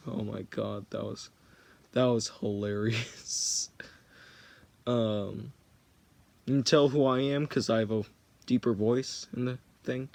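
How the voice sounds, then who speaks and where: A teenage boy rambling through a story in a casual, jokey way, often stopping to correct himself. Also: mid-range voice. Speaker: vlogger.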